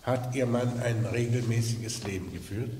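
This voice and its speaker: An actor playing a calm, laconic police commissioner in an old radio crime drama, questioning in a terse, matter-of-fact way. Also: male voice, medium pitch, moderate pace, a little hiss or hum, close to an everyday voice. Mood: sober. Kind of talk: 1950s-80s German radio crime drama acting.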